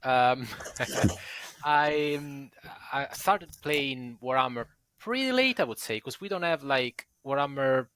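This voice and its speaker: A man's voice: medium pitch, lively intonation, takes it slowly, fairly bright tone, clean tone, no echo, rough recording. A man from Italy, native Italian speaker, with an Italian accent, chatting casually on a podcast and laughing between phrases. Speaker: podcast guest. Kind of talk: casual chat.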